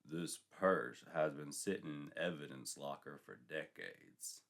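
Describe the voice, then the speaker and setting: A man voicing a stern, suspicious police sergeant in a story, measured and grave as he interrogates. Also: very deep voice, creaky, fairly bright tone, pitched below the performer's own voice, exaggerated voice.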